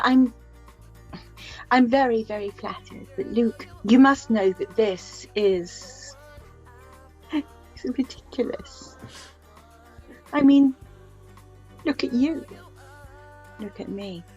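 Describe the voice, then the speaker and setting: An actress playing a flustered, kindly middle-aged mother in a radio play, hesitant and gently chiding. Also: on the high side, takes it slowly, long pauses, breathy edge, highs cut off, faint music behind.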